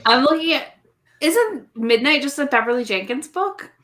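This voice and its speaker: A woman's voice: high-pitched, expressive, moderate pace, fairly bright tone, slight background noise. A woman chatting casually as a guest in a group game. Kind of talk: casual chat about books.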